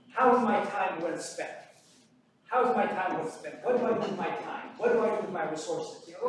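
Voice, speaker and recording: A man gives an earnest motivational talk at a community seminar, repeating points and asking rhetorical questions. His voice is notably high for a man. It rings out in a very echoey hall, caught from a distance. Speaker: pharmacist and teacher speaking at seminar.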